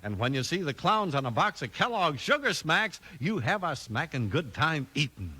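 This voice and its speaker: A man's voice: on the high side, sing-song, normal speed, pushed and bright, husky. A man with an American accent delivering an exuberant, emphatic 1950s radio commercial as announcer.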